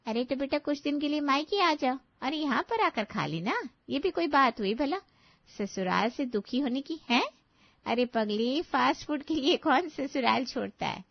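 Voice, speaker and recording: An indulgent older mother from a storybook, put on by a woman, fondly scolding in a high pitch. The recording is a bit dull. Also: animated, moderate pace, creaky, no room sound.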